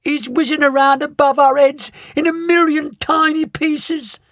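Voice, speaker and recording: A man voicing a frantic, shrieking mother who wails and screams in a very high voice. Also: expressive, moderate pace, no echo, clean studio sound. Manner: wailing, screaming. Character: frantic shrieking mother, middle-aged woman.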